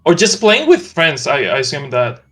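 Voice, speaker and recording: A man's voice: a little high, wildly swooping pitch, normal speed, pushed and bright. A man, a streamer guest, chatting casually on a livestream in an easygoing, hesitant way with fillers.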